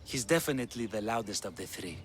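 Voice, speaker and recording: An actor playing a shrewd, proud Spartan king in a video game, speaking with a Greek accent in a deliberate, wry, rhetorical way. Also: on the low side, lively intonation, faint music behind, lightly acted. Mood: grave, conspiratorial. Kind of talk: video game cutscene acting.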